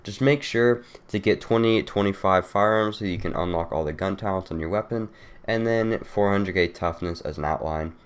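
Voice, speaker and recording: A man's voice: lowish voice, normal speed, clean tone. A young man with a congested, nasal voice from a cold, casually walking through a game build with loose, rambling talk full of fillers. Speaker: gaming YouTuber. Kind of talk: casual game guide walkthrough.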